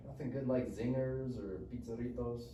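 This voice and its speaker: Mellow male voice, actor as sullen slangy teen, grumbling and defiant, live drama reading, echoey room, faint noise. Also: mid-range voice, fairly even intonation, moderate pace, close to an everyday voice. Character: sullen, defiant teenage Mexican-American boy. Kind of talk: live script table read. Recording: roomy distant mic, faint noise.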